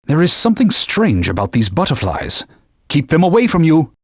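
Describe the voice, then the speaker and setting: A man's voice: on the high side, wildly swooping pitch, normal speed, no room sound, full character voice. A man voicing a talking holy sword, a stern old knightly mentor who speaks formally and barks urgent warnings in a game. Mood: grave. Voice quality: husky, formal, commanding. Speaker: video game character. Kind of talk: game voice clips. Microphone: studio mic. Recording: dry studio recording.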